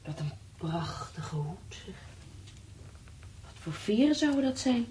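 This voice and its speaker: Radio play wife: distrustful, wistful scavenger, needling and musing, mid-range voice on a faintly noisy old recording. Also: female voice, mid-range voice, sing-song, takes it slowly, mellow. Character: restless, thirsty wife scavenging an abandoned house, distrustful and wistful. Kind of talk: Dutch radio play acting.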